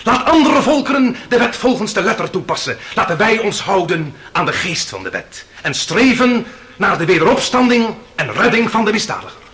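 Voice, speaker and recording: High-voiced, sweeping defence lawyer. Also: male voice, big pitch swings, moderate pace, a bit bright. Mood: grand, rousing. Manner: rhetorical, declamatory, emphatic. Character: impassioned defence lawyer, grandly appealing to a jury. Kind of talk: Dutch radio play acting, courtroom oratory.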